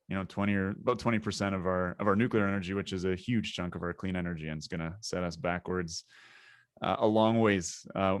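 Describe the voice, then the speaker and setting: A Canadian man hosting a podcast interview, talking in a relaxed way with hesitant fillers. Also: sits low, no echo, clean studio sound. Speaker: podcast host.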